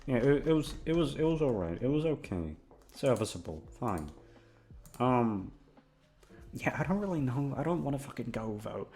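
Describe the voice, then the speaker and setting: A man's voice: mid-range voice, big pitch swings, moderate pace, a little mellow. A young man rambling in a casual chat, with a goofy, self-deprecating and sweary way of talking. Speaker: audio content creator. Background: background music.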